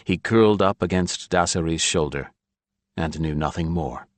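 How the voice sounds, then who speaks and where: A man's voice: low-pitched, normal speed, no echo. A man narrating a fantasy audiobook in a scripted storytelling style.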